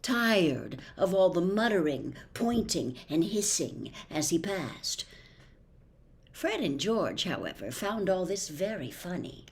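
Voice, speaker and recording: A woman reading a storybook aloud as narrator, in an expressive storytelling style. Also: on the low side, slow pace. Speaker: audiobook reader.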